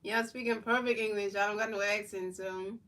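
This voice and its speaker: A woman's voice: mid-range voice, distant mic. A woman streamer doing play-by-play commentary of a football video game and answering her chat in casual slang. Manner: announces plays in a measured, even delivery.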